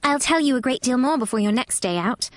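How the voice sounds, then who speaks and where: A woman narrator acts out a small well-to-do girl in an audiobook. The child is reserved yet curious, speaking bluntly and properly. The voice sits fairly high and sounds close and dry. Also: lively intonation, brisk, no room sound. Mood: lofty, generous. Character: reserved, curious, imperious little rich girl.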